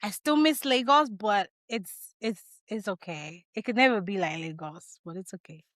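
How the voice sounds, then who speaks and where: A woman in her thirties from Nigeria, a podcast guest talking in a measured, reflective way with frequent ums, over a video call. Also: mid-range voice, a bit bright, no room sound. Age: 30s. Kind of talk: casual interview chat.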